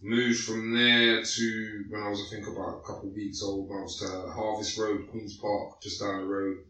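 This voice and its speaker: A man's voice: on the low side, little pitch movement, normal speed, sharp tone, recorded from a distance, a little hiss or hum. A man in his late thirties with a London accent, telling his life story in loose, slangy casual chat full of fillers. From North West London, England. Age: late 30s. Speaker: guest. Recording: echoey room, away from the mic.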